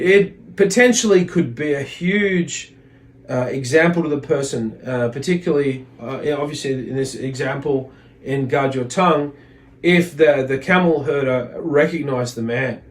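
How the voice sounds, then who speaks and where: A man's voice: medium pitch, a little slow. A man giving an informal religious lesson, reading aloud and explaining in a hesitant, reflective manner. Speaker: religious teacher.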